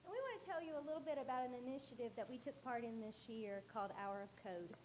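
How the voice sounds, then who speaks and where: A woman school librarian giving a presentation to a board meeting, with a loud, carrying voice and an earnest, explanatory manner. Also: on the high side, fast, slight background noise, low-quality recording.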